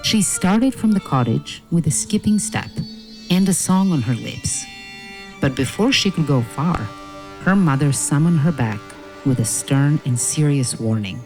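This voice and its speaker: Woman storyteller, deepish voice, dramatic measured fairytale narration w/ music behind. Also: expressive, a little mellow. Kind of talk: scripted fairy-tale narration.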